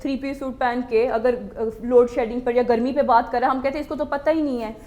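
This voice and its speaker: A woman's voice: fairly high pitch, fairly even intonation, a little fast, warm tone, dull audio, slight background noise. A woman with a slightly strained throat, earnestly answering interview questions with English words mixed in. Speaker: talk show guest, charity worker.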